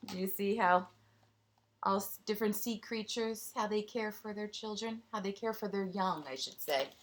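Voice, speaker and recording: A woman's voice: mid-range voice, moderate pace, slight background noise. A woman teacher reading a storybook aloud to young children, expressively, with little explaining asides.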